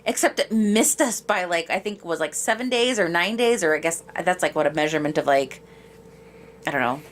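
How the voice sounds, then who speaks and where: A woman's voice: on the low side, animated, a little fast. A woman co-hosting a podcast in casual chat, speaking bluntly and informally in a rambling way. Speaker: podcast co-host.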